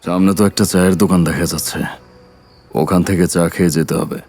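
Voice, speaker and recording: An actor in an audio drama playing a tired doctor, wary and easily irritated. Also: male voice, deep voice, fairly even intonation, fast talker, a little mellow. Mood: weary.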